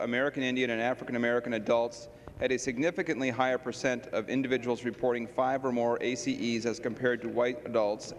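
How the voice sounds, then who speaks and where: Mid-pitched man reading in an echoing chamber. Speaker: state legislator. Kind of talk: formal reading of a resolution. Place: large indoor legislative chamber. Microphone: handheld floor microphone. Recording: reverberant chamber, faint noise and clicks.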